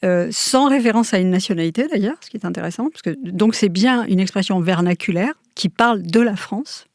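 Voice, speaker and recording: A middle-aged woman professor explaining at length, pausing and correcting herself as she thinks. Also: medium pitch, darkish tone, no room sound, studio quality. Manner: thoughtful, frequent hesitations and self-corrections. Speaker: university professor. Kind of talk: interview answer, lecture-like explanation.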